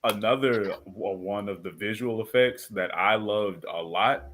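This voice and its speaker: A man's voice: lowish voice, measured pace, fairly bright tone, slight background noise. A young man talking casually as a co-host on a group podcast.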